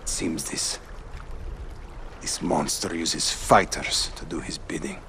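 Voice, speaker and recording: A man's voice: extremely low pitch, steady tone, slow pace, gravelly, bright, forceful tone, a little hiss or hum, full character voice. A man playing a grieving, bitter father in a video game drama, sombre and heavy-hearted. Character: grieving father, sorrowful, bitter, devoted friend.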